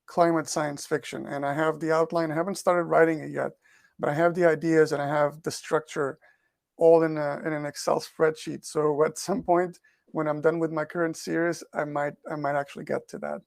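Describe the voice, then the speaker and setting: A man's voice: fairly high pitch, level tone, normal speed, gravelly, dry recording, studio quality. A man who grew up in Portugal and speaks English as a second language, answering interview questions thoughtfully as an author and researcher. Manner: thoughtful, repeats words as he thinks. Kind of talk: podcast interview.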